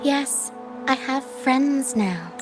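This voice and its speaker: An actress playing a gentle, protective young woman in a video game, speaking in a calm, soft and solemn way. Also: sits high, very slow, heavily acted. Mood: tender. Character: gentle, protective young woman guiding others through mist. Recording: game music behind the voice.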